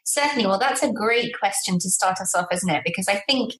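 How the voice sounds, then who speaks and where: A woman's voice: medium pitch, brisk, a bit bright. A woman with a British accent, speaking as an expert guest and explaining things conversationally in an interview. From Malta, born in southern England. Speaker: guest public relations expert. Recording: echoey room sound.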